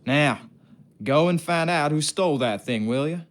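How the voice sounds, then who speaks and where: An actor playing a gruff, sardonic ship's craftsman in a video game, taunting and bossy in a colloquial, condescending way. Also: a little high, expressive, moderate pace, close to an everyday voice. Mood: condescending, conspiratorial. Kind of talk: video game character acting.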